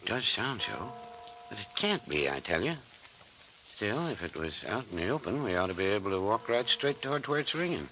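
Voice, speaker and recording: An actor playing a folksy older small-town doctor, bossy and reassuring, with rural turns of phrase in old-time radio drama style. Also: male voice, fairly low pitch, sing-song, moderate pace, sharp tone. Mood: puzzled. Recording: noisy vintage radio recording.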